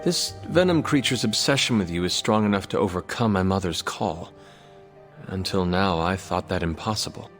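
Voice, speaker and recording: A man playing a solemn, courteous warrior in a game cutscene, speaking in a formal, measured, earnest way. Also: low-pitched, a bit bright, soft background music. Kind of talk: video game cutscene acting.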